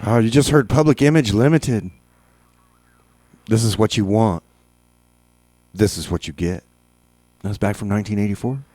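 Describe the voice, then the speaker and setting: A man's voice: fairly low pitch, darkish tone. A man hosting a community radio music show, chatting casually and hesitantly between songs, with many fillers and restarts.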